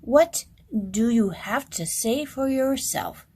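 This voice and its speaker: A woman voicing an exasperated, commanding king in a children's storybook, barking orders and growling out drawn-out words. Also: a little high, animated, mellow, husky, exaggerated voice. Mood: angry, commanding.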